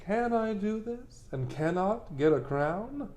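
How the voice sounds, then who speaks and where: An actor playing a bitter, scheming, misshapen nobleman hungry for the crown, in theatrical Shakespearean soliloquy with brooding, mocking, halting delivery. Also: high-pitched, animated, darkish tone, a little hiss or hum. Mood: gloating menace.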